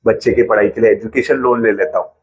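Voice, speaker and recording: A man's voice: lowish voice, steady tone, quick pace, husky. A man, a financial planner patiently explaining money matters with everyday examples in an interview.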